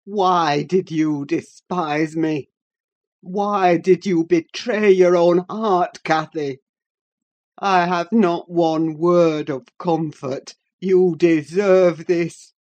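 A woman reader voicing a young man wild with grief, speaking in a harsh, accusing way that rises into shouts. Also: lowish voice, fairly even intonation, extremely slow, highs cut off. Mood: anguished.

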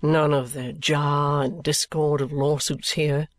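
A man playing an affectionate but bitter, evasive young gentleman, earnest and weary, in acted audiobook dialogue from a classic novel. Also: a little high, little pitch movement, slow pace. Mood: wistful.

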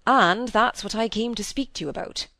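A woman voicing a sensible middle-aged gentleman from a storybook, matter-of-fact and briskly impatient. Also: a little high, no room sound, voice pushed up. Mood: resolute.

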